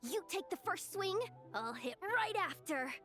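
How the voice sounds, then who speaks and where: Squeaky-high, chirpy little game companion. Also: female voice, expressive, a little slow, bright, forceful tone, faint music behind, heavily acted. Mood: eager. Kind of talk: video game voice acting.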